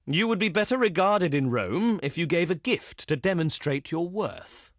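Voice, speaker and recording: An actor playing a haughty Roman diplomat of the Senate in a video game, speaking in a pompous, formal, oratorical and condescending way. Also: male voice, fairly high pitch, lively intonation, moderate pace, no room sound, studio quality, natural voice. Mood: smooth, condescending. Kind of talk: theatrical scripted game dialogue.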